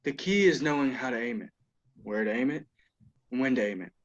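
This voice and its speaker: Guy reading a creepy calm cameraman, muffled video call sound. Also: medium pitch, a little fast, lightly acted. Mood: ominous. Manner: calm, ominous, philosophizing. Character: cryptic cameraman obsessed with anger. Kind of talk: table read over video call.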